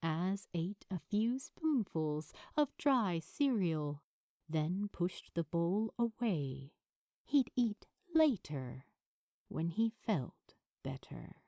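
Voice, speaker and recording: A woman reading a story aloud as an expressive audiobook narrator, with dramatic, weighted pauses. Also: lowish voice, no room sound, studio quality. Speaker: fan fiction narrator.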